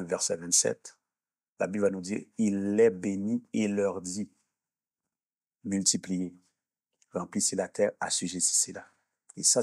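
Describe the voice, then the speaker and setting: A mature man speaks in a low voice with a rough, husky grain, recorded close and dry. He answers like a minister being interviewed, explaining deliberately. He often pauses to search for the next word. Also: darkish tone, dry recording. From born in Haiti, grew up in Canada. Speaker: minister and executive coach, interview guest. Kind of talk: measured interview talk with preaching explanation.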